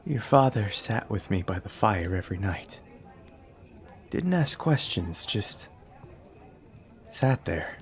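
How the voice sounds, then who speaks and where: A young man playing a grieving adopted warrior, speaking quietly and earnestly. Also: fairly low pitch, sing-song, slow pace, pauses often, exaggerated voice. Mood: somber.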